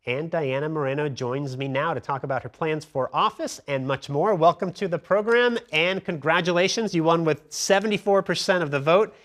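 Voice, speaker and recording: A man's voice: a little high, moderate pace, hardly any pauses, a bit bright. A man anchoring a TV news program, introducing a guest and asking probing questions in a conversational way. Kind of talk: TV news interview.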